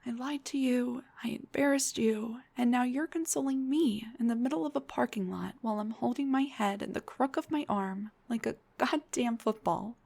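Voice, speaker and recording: A young woman voicing an embarrassed, self-deprecating headless dullahan on a date, in an intimate roleplay, breathy and hesitant, often slipping towards a whisper. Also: a little high, moderate pace, hardly any pauses, darkish tone. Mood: tearful, apologetic. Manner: hesitant, stammering, joking. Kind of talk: intimate audio roleplay acting.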